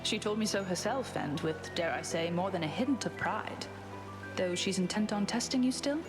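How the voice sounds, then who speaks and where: A woman's voice: mid-range voice, normal speed, natural voice. A woman playing a confident, wry goddess in a video game, speaking with easy assurance. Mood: wry, assured. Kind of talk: video game character acting. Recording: loud music behind the voice.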